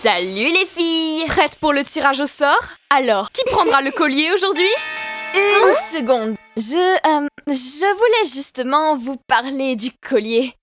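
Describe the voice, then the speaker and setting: A woman voicing a self-assured, slightly vain girlish pet in a children's cartoon, chatty and expressive. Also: sits high, unhurried, loud music behind the voice. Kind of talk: French-dubbed children's cartoon acting.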